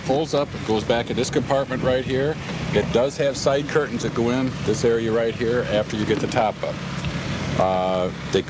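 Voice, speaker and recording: A man's voice: medium pitch, moderate pace. An American man, a car owner, giving informal explanatory interview answers outdoors at a car meet. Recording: outdoor vehicle noise.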